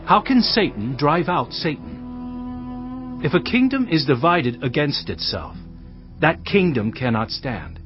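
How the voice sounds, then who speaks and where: An authoritative, earnest teacher from a scripture audio drama. His delivery is emphatic and very varied in pitch, with a mid-range voice. The sound is slightly dull, with music behind him. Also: very animated, slow. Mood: stern teaching.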